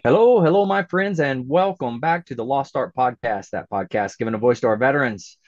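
A man's voice: mid-range voice, fairly quick, no room sound, dull audio. A man in his forties hosting a podcast interview over a video call, upbeat and chatty. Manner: upbeat, chatty, animated when promoting. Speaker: podcast host. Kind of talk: casual interview. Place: indoor room with a virtual backdrop. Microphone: webcam video call.

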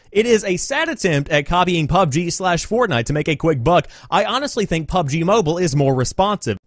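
A man's voice: sits high, no echo, studio quality. A man reading written reviews aloud in an emphatic, dramatic comic style. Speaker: video presenter reading user reviews.

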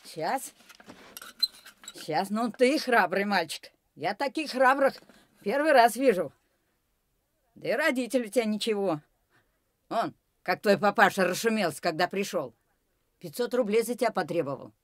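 An actress playing a talkative ice-cream seller in a radio drama. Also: female voice, mid-range voice, expressive, moderate pace, long pauses, dry recording, studio quality, lightly acted. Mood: chatty.

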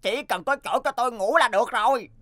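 A woman's voice: fairly high pitch, fairly quick, natural voice. A woman voicing an earnest, easygoing male hotel guest in a comic cartoon. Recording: music behind the voice.

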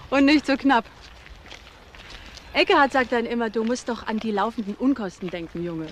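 A woman's voice: a little high, normal speed, a bit bright, a little hiss or hum, close to an everyday voice. An actress playing a frank, forthcoming single mother being questioned in a German crime radio drama, in a conversational way. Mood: frank, explanatory. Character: frank, chatty single mother, office employee witness.

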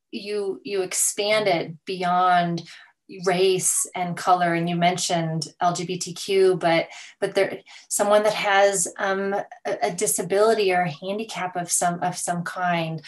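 Woman hosting a podcast, voice a bit low, kinda halting, feeling for words. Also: steady tone. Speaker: podcast host, interviewer. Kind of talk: conversational interviewing.

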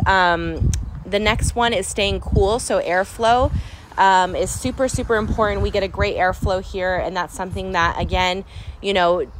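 A woman's voice: medium pitch, background noise. A young woman from San Diego, chatting enthusiastically in a casual vlog about caring for her horses at an outdoor barn. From San Diego, California. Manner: chatty, enthusiastic, repeats "super important". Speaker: horse owner vlogger.

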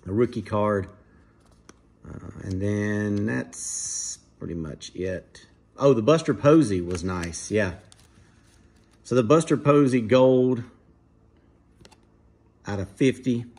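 A man casually commenting to viewers while opening trading card packs at a dining table at home, in a relaxed, chatty way. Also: lowish voice, slow pace, pauses often, breathy edge. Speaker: card breaker, channel host.

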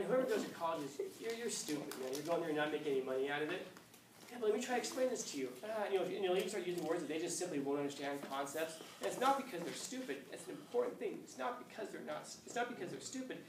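A man in his fifties, a high school teacher lecturing a class, acting out scenes with playful voices. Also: slight background noise. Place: classroom, indoors. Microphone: far camera. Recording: echoey classroom from far off.

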